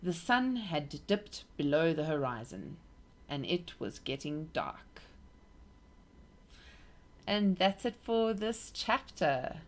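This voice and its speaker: A woman reading a children's book aloud for her nieces, in an expressive storytelling manner. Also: low-pitched, slow, long pauses, slight background noise.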